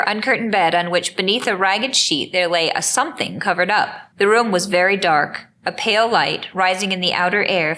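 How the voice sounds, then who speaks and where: Bright-voiced woman narrating a story. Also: mid-range voice. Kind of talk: scripted dramatic story narration.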